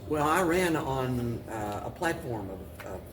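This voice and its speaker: A man speaking as an interview guest and city mayor, giving halting, measured answers and often repeating phrases. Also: mid-range voice, expressive. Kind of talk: talk show interview answers. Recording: distant mic, clearly noisy room.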